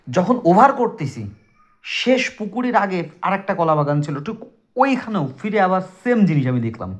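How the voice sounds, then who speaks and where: High-pitched man with southwestern Bangladeshi accent. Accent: southwestern Bangladeshi Bengali. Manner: conversational, emphatic, addresses listeners directly. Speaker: storyteller. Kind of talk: casual spoken personal ghost story to friends. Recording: dull lo-fi recording.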